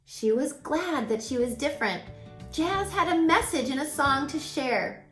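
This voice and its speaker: A woman reads aloud for young children in a fairly high voice. Her delivery is animated and emphatic, with drawn-out phrases aimed straight at the listeners. The room echoes noticeably. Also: soft background music. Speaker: storyteller, children's book reader.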